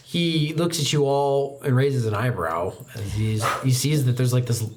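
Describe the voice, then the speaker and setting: A man's voice: mid-range voice, moderate pace, darkish tone. A man narrating a tabletop role-playing game as game master.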